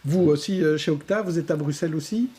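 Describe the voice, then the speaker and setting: A man's voice: on the high side, quick pace, a crowd in the background. A man hosting a studio talk show, prompting guests with questions and many fillers. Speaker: TV show host.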